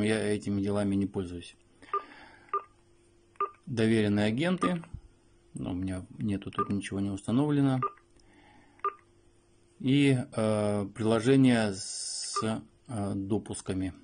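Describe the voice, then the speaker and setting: A man giving an unscripted step-by-step device walkthrough as a review host, explaining piece by piece with hesitant pauses. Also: fairly low pitch, background noise.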